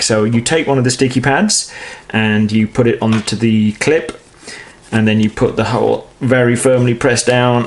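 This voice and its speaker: A man's voice: mid-range voice, slight background noise. A British man presenting an informal step-by-step how-to demonstration in a matter-of-fact, explanatory way. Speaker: presenter of a how-to product installation video.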